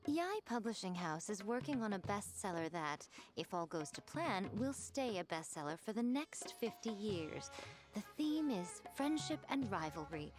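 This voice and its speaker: A woman's voice: mid-range voice, close to an everyday voice. A woman playing a poised, teasing, self-assured chief editor in a video game, speaking in elegant phrases. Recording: music behind the voice.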